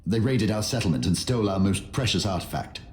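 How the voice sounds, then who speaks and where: A man's voice: fairly low pitch, lively intonation, fast talker, lightly acted. A man playing an embattled, devout defender of a city in scripted fantasy game dialogue. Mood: urgent pleading. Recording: echoey, faint music behind.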